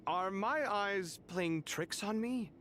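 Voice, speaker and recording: A man's voice: high voice, animated, slow pace, a bit bright, slight background noise, exaggerated voice. A man playing an inquisitive, easygoing adventurer in a video game. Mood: curious.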